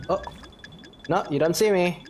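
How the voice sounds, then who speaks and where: A woman's voice: on the low side, unhurried, a little mellow, a little hiss or hum. A woman gamer giving casual, wry and playful commentary while reading some game dialogue aloud. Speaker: gaming streamer.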